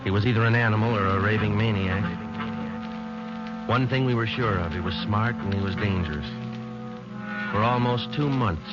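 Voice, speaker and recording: An actor playing a matter-of-fact police detective sergeant in a 1940s radio drama, speaking in a clipped, deadpan way. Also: male voice, low voice, close to an everyday voice. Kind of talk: 1940s radio acting, first-person narration. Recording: muffled old radio recording.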